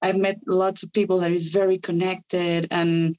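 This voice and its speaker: A woman's voice: on the low side, little pitch movement, normal speed. Spanish-accented woman chatting, eager, lots of likes and restarts, kinda dull audio. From Spanish-speaking country. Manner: enthusiastic, many fillers and restarts. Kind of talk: casual podcast conversation.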